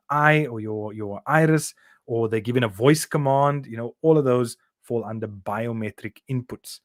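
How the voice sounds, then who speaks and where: A man's voice: mid-range voice, no room sound, clean studio sound. A middle-aged South African man teaching a revision lesson, explaining step by step and checking in with "okay?" and "right?". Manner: uses fillers and repeats words while explaining.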